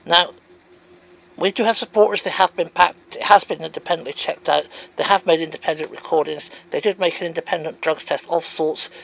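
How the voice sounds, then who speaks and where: A man's voice: fairly high pitch, fairly quick, gravelly, low-quality recording. A man reading out comments and answering them in an emphatic, wondering way. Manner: animated, wondering aloud, emphatic.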